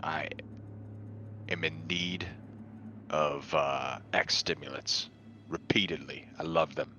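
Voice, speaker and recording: Deep-voiced male player, blunt dry roleplay chat, slightly dull lo-fi audio. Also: steady tone, slow pace, pushed and bright, a little hiss or hum. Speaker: player in tabletop game. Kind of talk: casual in-character roleplay chat.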